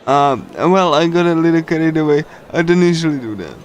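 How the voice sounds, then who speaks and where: A man reading a visual novel aloud on a casual livestream, in a halting, pieced-together way. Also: fairly high pitch, lively intonation. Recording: music behind the voice. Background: game music.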